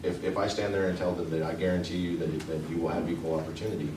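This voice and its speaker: A man's voice: deep voice, level tone. A man from a trade union answering questions at a community meeting, talking earnestly and restarting his sentences often. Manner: earnest, halting, many restarts. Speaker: union representative. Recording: echoey room, distant mic, noise.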